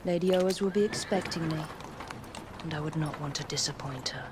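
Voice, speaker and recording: A woman's voice: low voice, brisk, noisy background, close to an everyday voice. An actress playing a determined, protective younger lady vigilante in a video game, with an English accent and clipped, composed delivery. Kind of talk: video game cutscene acting.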